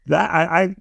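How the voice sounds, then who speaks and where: A man's voice: sits high, creaky, no echo, studio quality. A man co-hosting a podcast, laid-back and agreeable, tossing in jokes as he recaps a TV episode. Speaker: podcast co-host. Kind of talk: casual chat recapping a TV episode.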